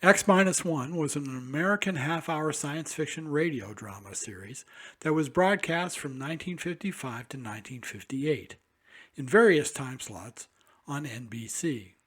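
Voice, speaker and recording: A man's voice: a little high, animated, moderate pace, fairly bright tone, slightly rough. A man hosting a classic-radio program, reading a formal scripted introduction. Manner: formal, announcer-like. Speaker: host.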